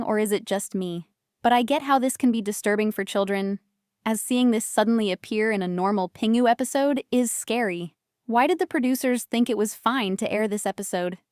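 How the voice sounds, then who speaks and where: Clear-voiced woman, close and dry. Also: fairly high pitch, moderate pace, dry recording. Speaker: video host. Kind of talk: casual reaction commentary.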